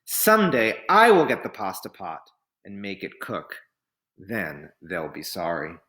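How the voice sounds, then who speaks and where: A man's voice: fairly low pitch, huge pitch swings, a little slow, bright, forceful tone, voice pushed down, exaggerated voice. A man voicing a boastful, eager, naive young village man in a storybook, declaring things boldly. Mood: defiant.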